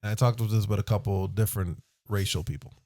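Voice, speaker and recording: A man's voice: fairly low pitch, steady tone, fast, gravelly, a little mellow. A man hosting a casual podcast, teasing his guests and asking pointed questions. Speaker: host.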